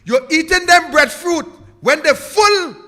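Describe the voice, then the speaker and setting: A Trinidadian man hosts a political talk show with a very high, piercing voice. He scorns his targets and hammers the same questions again and again. The sound carries a long, echoey reverb. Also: lively intonation, a little slow, sharp tone. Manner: scornful, repetitive rhetorical questioning. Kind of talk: ranting live political commentary.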